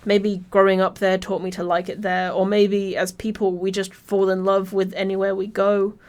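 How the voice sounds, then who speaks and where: An English woman narrates a scripted video essay at a middling pitch. Her delivery is wry and informal, with casual swearing mixed into considered prose. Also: flat delivery, normal speed, darkish tone. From Birmingham, England.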